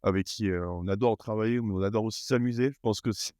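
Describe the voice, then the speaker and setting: Lowish guy, talks fast, dry close sound, keeps saying same phrases. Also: darkish tone, no room sound. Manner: quick, repeats "en tout cas". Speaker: startup co-founder. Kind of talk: casual pitch at a table.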